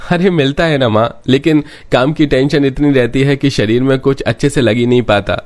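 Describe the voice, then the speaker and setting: Man playing placating young husband, pitched up. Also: moderate pace, a little mellow, natural voice. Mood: reassuring. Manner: pleading, placating. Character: placating grown son, citified young husband.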